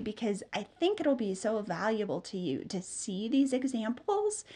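A woman's voice: medium pitch, darkish tone, breathy edge. A woman in her thirties hosting a solo business podcast, chatty and upbeat with many fillers, sounding a bit nasal from a cold. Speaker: podcast host and business mentor.

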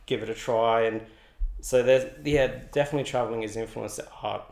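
A man's voice: mid-range voice, fairly even intonation, a little fast, creaky, darkish tone. A young Australian man, an artist, chatting in an interview in a loose, rambling way full of "like" and restarts. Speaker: artist guest. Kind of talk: casual interview. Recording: roomy echo.

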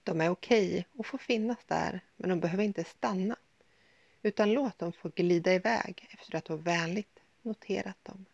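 A woman guiding a yoga nidra body scan in a soothing, gentle, unhurried manner with pauses. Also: on the low side, creaky, dry recording, highs cut off. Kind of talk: guided meditation, body scan.

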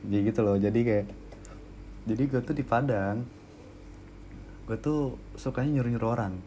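A young man telling stories in casual, slangy podcast chat, with no regional accent and a relaxed manner. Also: mid-range voice, moderate pace, dull audio, a little hiss or hum. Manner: laid-back storytelling, frequent restarts and laughs. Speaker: podcast co-host.

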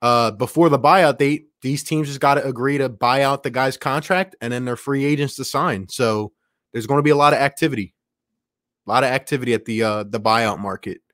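A man hosting a sports livestream in an excited, casual way, reading out viewer messages with plenty of slangy exclamations. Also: medium pitch, brisk, no echo, clean studio sound. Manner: slangy, exclamatory, addresses listeners as man and bro. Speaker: livestream host.

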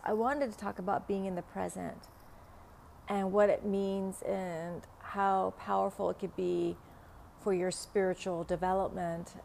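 Middle-aged vietnamese-accented woman talking slow on her podcast, lots of ums, faint cars outside the open window. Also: medium pitch, vocal fry, slight background noise. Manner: slow, halting, many fillers and soft laughs. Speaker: podcast host, spiritual teacher. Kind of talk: casual spoken podcast monologue. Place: home room in a city, window open, passing traffic.

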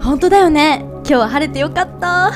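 A woman playing a sweet, excitable young girlfriend who gushes with drawn-out words in an amateur romantic radio drama. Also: high voice, over music, close to an everyday voice. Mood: dreamy.

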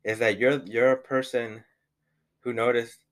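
A man's voice: mid-range voice, flat delivery, a little slow. A young man co-hosting a casual couple's podcast, rambling with many fillers and the odd swear, with a slight accent. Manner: rambling, lots of "like" and "you know", swears. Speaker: podcast co-host.

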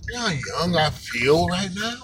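A man's voice: sits high, animated, normal speed. Rambling fifties man over street noise. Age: 50s. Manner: rambling, reflective, repeats himself. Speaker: podcast host. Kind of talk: casual solo podcast monologue.

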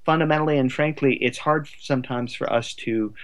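Man, mid-pitched voice, reflective podcast guest, articulate, discursive conversational delivery. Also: brisk.

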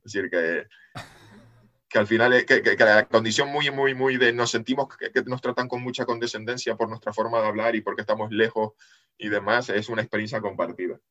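Fast-talking Canarian man, low voice. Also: steady tone, sharp tone, lo-fi sound. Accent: Canarian Spanish. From Canary Islands. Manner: fast, repeats words, tells stories with asides. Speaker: podcast guest, geopolitics analyst. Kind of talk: casual chat.

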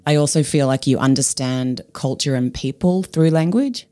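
Mellow eager interviewer, gasps and exclaims a lot, dry sound. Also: medium pitch, dry recording. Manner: eager, quick to gasp and exclaim. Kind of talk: friendly interview questions.

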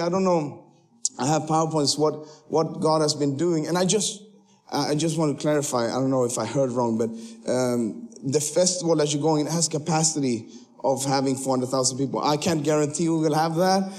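A young man born in Sweden gives a casual, joking sermon as a guest missionary. His voice sits a little high for a man. He talks in a loose, friendly way with plenty of fillers. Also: a little mellow. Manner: chatty, joking, frequent laughs and fillers. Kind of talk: casual sermon to a church crowd. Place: church sanctuary.

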